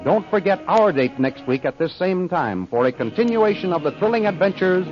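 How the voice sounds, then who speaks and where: A man's voice: high voice, animated, normal speed. A man with an American accent announcing a 1930s radio serial in scripted style. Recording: muffled old radio broadcast recording. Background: music.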